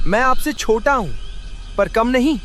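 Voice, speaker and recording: A man playing a clever, earnest young prince in an animated story, with dramatic delivery. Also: very high voice, measured pace, exaggerated voice. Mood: confident. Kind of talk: animated moral story acting.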